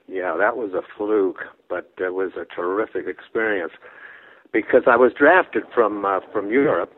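A man in his nineties from Brooklyn, a guest telling long, rambling anecdotes that trail off softly, with restarts and chuckles. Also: lowish voice, moderate pace. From Brooklyn, New York. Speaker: interview guest. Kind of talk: casual storytelling interview. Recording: phone-like narrow band.

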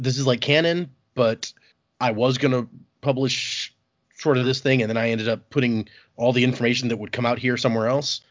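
A man's voice: medium pitch, no room sound. A man hosting a podcast in casual chat, rambling and restarting his phrases, with a laugh here and there. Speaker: podcast host. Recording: dull, muffled podcast audio.

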